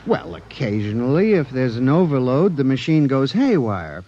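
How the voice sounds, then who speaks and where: Anxious clerk, very animated, old radio. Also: male voice, mid-range voice, normal speed, a little hiss or hum. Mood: nervous, deferential. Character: earnest, anxious male statistical clerk recounting a nightmare. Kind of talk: 1950s radio drama acting and narration. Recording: muffled vintage radio recording with music behind.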